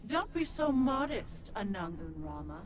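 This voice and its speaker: A woman playing a menacing, mocking sorceress spirit in a video game, taunting slowly and theatrically over music. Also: mid-range voice, big pitch swings. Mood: sinister taunting. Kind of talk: video game character dialogue. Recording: game clips under loud music.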